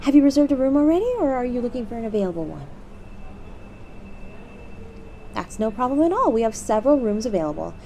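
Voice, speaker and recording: A woman playing a cheery, courteous demon receptionist who pitches a resort to a guest in a scripted audio roleplay. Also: big pitch swings, very fast, warm tone, slight background noise. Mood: cheery, reassuring. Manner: bubbly, courteous customer-service patter. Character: cheery demon hotel receptionist, eager and polite, salesy.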